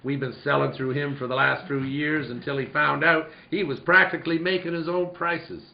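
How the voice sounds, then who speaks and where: A man playing a cantankerous old rancher father in a radio western, with a gravelly voice and grumbling rustic cowboy talk. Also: on the high side, fairly even intonation, moderate pace. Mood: grateful, earnest. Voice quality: gravelly, creaky. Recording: roomy, dull, noisy recording.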